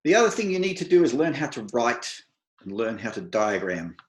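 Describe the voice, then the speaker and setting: A middle-aged Australian man giving an advice talk to an online meetup, speaking slowly and clearly with dry humour. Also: mid-range voice, very animated, roomy sound. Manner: slow, deliberate, clear delivery for non-native listeners.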